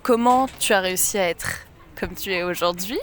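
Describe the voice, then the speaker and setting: A woman hosting a casual street interview, asking questions in a quick, chatty way and encouraging her guest with frequent agreeing murmurs. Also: fairly low pitch. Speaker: interviewer, channel host. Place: outdoors on a busy city street.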